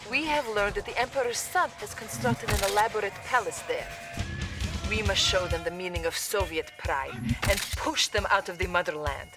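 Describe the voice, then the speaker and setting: A woman's voice: medium pitch, lightly acted. A woman playing a Soviet intelligence officer giving formal mission briefings.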